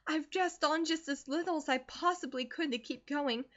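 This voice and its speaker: A woman's voice: high-pitched, moderate pace, fairly bright tone, voice pushed up. A woman reading aloud and voicing an anxious, earnest teenage schoolgirl who pleads in distress, in a dull lo-fi recording. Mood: pleading.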